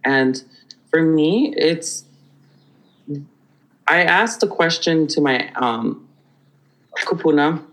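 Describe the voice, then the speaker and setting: A man from Hawaii, a podcast guest telling stories in an animated, rambling way with many restarts. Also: a little high, drawn-out words, long pauses, rough recording. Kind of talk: conversational storytelling.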